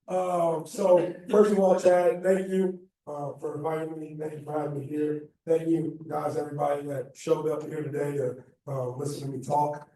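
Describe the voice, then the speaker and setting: An American man in his thirties with a slightly raspy voice, giving an informal, halting motivational talk as a guest speaker. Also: on the high side, level tone, dark tone. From Illinois, United States. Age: 30s. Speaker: guest speaker, football coach. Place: echoey indoor meeting room. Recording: very echoey room, distant mic.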